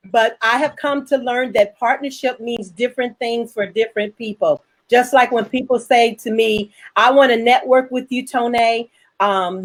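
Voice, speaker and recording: Frank woman with a higher-pitched voice. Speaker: host, independent filmmaker. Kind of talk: panel chat, answering viewer questions.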